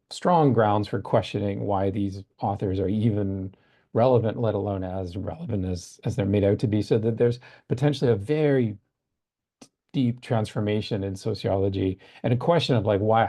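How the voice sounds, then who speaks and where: A man's voice: lowish voice, vocal fry, warm tone. A man moderating an online academic seminar, speaking thoughtfully with many fillers and restarts over a video call.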